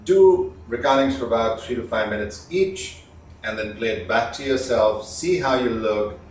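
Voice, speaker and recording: A man giving an instructional talk as a communication trainer, explaining with emphatic stressed words and pauses. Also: medium pitch. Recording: echoey room, distant mic, faint noise.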